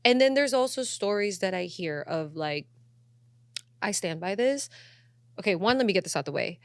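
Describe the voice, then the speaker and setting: A woman's voice: medium pitch, expressive, moderate pace, dry recording. A woman hosting a podcast, chatting casually and frankly, with plenty of filler words like "you know". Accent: Filipino. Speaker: podcast host. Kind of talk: casual opinionated podcast chat.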